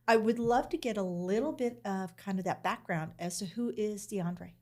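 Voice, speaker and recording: A woman's voice: medium pitch, moderate pace, warm tone. Effusive woman podcast host. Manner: effusive, enthusiastic. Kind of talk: casual interview chat.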